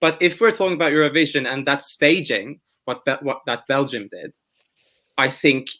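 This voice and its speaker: Bright-voiced, excitable man on a call. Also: mid-range voice. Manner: enthusiastic, fast bursts, restarts. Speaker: panel guest. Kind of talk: casual panel chat.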